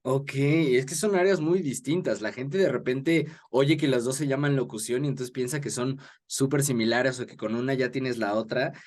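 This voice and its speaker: A man's voice: mid-range voice, normal speed, hardly any pauses, darkish tone. A man with a Mexican Spanish accent hosting an interview in casual, explanatory talk. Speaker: interview host.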